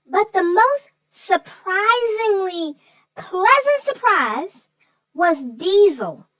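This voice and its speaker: A woman voicing a curious, sassy child sidekick who reacts to a story with drawn-out phrases. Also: very high voice, sing-song, fairly bright tone, no echo, pitched above the performer's own voice, full character voice, low-quality recording. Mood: sassy, surprised.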